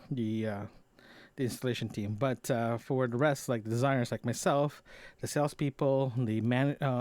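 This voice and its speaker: A man's voice: medium pitch, darkish tone, no room sound. A man recording a casual audio diary, talking in a rambling, conversational way and often correcting himself as he goes. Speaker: podcast host.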